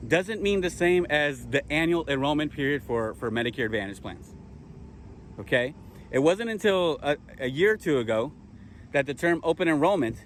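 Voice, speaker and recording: A man's voice: mid-range voice, slight background noise. A man teaching fellow insurance agents in a casual spoken lesson, repeating key terms and checking in with "okay?" as he self-corrects and restarts. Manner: repeats himself, self-corrects, rhetorical questions.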